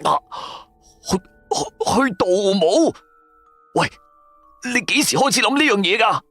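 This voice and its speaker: A man performs an excitable Cantonese radio-drama sidekick, young, broke and greedy for cash. His slangy, wheedling lines jump up and down in pitch, recorded dry and close over music. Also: slow, dry recording, full character voice. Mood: shocked. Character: Tofu, broke, timid but money-hungry young male sidekick.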